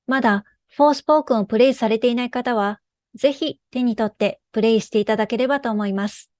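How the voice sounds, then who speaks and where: Lady narrating a scripted dev talk, polite n formal, highish voice, no room echo at all. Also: fast, darkish tone, studio quality. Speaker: game developer presenting.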